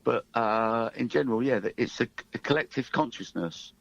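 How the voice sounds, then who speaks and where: Gravelly man in his fifties, muffled. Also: medium pitch, normal speed, fairly bright tone. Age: 50s. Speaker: musician guest. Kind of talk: casual radio interview chat.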